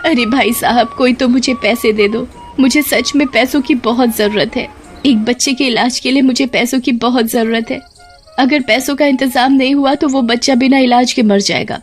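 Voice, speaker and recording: A poor, distraught woman from an animated tale, acted by a female performer. She pleads in a high voice, full of desperate need. Faint music plays behind her. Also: normal speed, natural voice. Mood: begging. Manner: pleading, tearful. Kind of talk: animated Hindi story acting.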